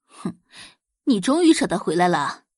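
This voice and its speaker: Woman as a cross, nagging wife, animated. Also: medium pitch, moderate pace, no echo, studio quality. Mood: sulky. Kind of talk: Chinese audio drama acting.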